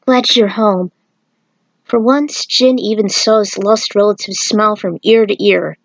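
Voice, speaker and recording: A woman's voice: medium pitch, normal speed, gravelly, no room sound, low-quality recording. A woman reading scripted fan fiction aloud as the narrator of a story.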